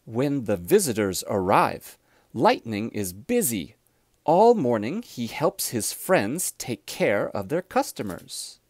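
A man reads a children's storybook aloud with deliberate, expressive phrasing. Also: medium pitch, no echo. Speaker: storybook reader.

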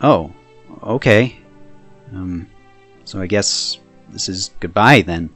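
A man's voice: low-pitched, takes it slowly, a bit bright. A man voicing a dim, stubborn young adventurer, earnest and obtuse, in a comic fan dub. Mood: wistful. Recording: background music.

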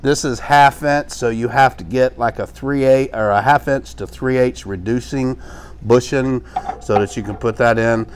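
A man's voice: medium pitch, a little hiss or hum. Casual middle-aged man demoing in a workshop. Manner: casual and rambling, with many fillers and restarts. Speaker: pressure washing instructor. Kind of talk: hands-on how-to demonstration. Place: small workshop with tool shelves, indoors.

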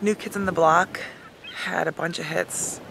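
A woman in her thirties giving a dramatic, mock-emotional reading of song lyrics, with halting, deliberate pauses, recorded outdoors on a handheld camera. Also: fairly low pitch, fairly even intonation, vocal fry, noisy background. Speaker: comedic video host. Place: lying outdoors in a hammock. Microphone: camera held at arm's length.

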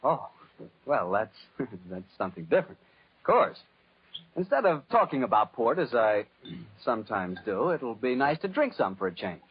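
An actor playing a genial, chatty announcer in 1940s radio style. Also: male voice, mid-range voice, very animated, moderate pace, husky, full character voice. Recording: muffled old radio recording.

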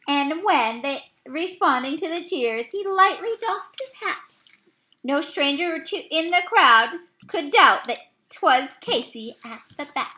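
A woman in her thirties reading a poem aloud from a picture book, a little haltingly, stumbling and correcting herself. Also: sits high, expressive, bright, forceful tone, poor audio. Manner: halting, with misreadings and self-corrections. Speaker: read-aloud reader. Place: small indoor room.

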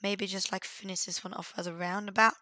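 A woman with a croaky, cold-hoarse voice, giving casual, hesitant let's play commentary as she thinks aloud. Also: medium pitch, level tone, brisk, pushed and bright, no echo, studio quality. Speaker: gaming video host.

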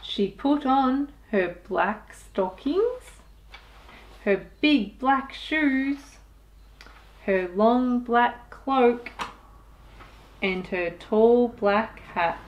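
Casting: female storytime reader, 30s, highish voice, extremely unhurried delivery, expressive with young listeners. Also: lo-fi sound. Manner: expressive, deliberate, with sound effects and asides to listeners. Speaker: children's storytime host. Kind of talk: picture-book read-aloud for young children.

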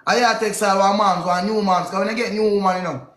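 A man's voice: sits high, steady tone, fast talker, a bit bright. A man in his twenties with a Jamaican accent chatting casually on a livestream, emphatic and repeating himself for effect. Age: 20s. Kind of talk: casual bantering livestream chat. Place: indoors in a home living room. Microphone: phone or webcam close in front, split-screen live call. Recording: echoey room.

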